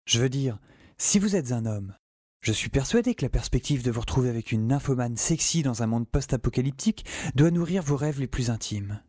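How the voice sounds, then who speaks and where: A man's voice: mid-range voice, expressive, a little fast, dark tone, no echo, natural voice. An actor playing a sarcastic, geeky young male scientist in an audio drama, wryly telling his adventure and rambling. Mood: sardonic. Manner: wry, rambling, talks to the listener. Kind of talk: audio drama narration and dialogue.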